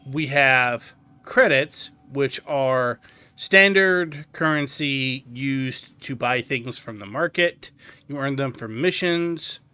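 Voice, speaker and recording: Male gaming host: bright, forward voice at medium pitch, casual explanatory commentary in deliberate phrases. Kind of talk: casual tutorial game commentary.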